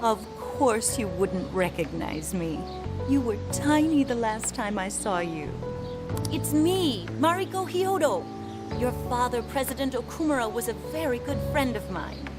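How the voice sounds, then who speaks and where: A haughty middle-aged mayor, with a slightly high voice. She switches between sharp scolding and sugary graciousness. Loud game music plays under her lines. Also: lively intonation, unhurried. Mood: sweetly gracious. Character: imperious middle-aged female city mayor, two-faced. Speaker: mayor character in a game dub. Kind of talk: video game voice acting.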